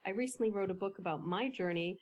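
A woman coach, a podcast guest, explaining ideas in a measured way with some fillers. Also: medium pitch, darkish tone, muffled recording, a little hiss or hum. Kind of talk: podcast interview.

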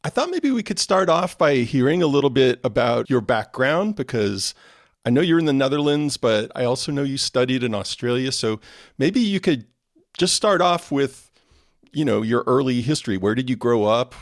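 A man's voice: mid-range voice, lively intonation, moderate pace, dry recording, studio quality. A man hosting a podcast, asking questions in an interested, informal conversational style.

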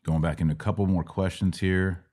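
A man's voice: sits low, steady tone, a little fast, darkish tone. A middle-aged man hosting a casual live question-and-answer show, teaching step by step with questions and simple analogies. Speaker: podcast host answering Bible questions.

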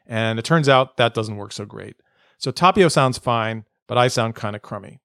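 A man's voice: mid-range voice, normal speed, creaky, bright, forceful tone, clean tone, no echo, studio quality. A man hosting a podcast interview from a hotel room, speaking through a Bluetooth headset mic. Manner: hesitant, restarts questions. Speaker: podcast host. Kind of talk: interview conversation.

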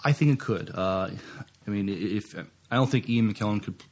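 Creaky, low-voiced man co-hosting a podcast. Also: moderate pace. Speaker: podcast co-host. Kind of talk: casual podcast chat.

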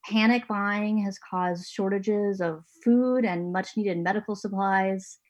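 A woman's voice: mid-range voice, fairly even intonation, slow, darkish tone. A woman hosting an interview podcast from her home basement, talking earnestly with a few restarts. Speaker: podcast host and managing editor.

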